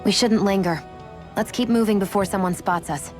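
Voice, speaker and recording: A woman's voice: medium pitch, normal speed, music in the background, natural voice. An actress playing a proud, blunt young woman fighter in a fantasy anime game, curt and matter-of-fact. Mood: dry, impatient. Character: cool, blunt young female sharpshooter, proud and condescending. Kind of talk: English-dubbed anime game voice acting.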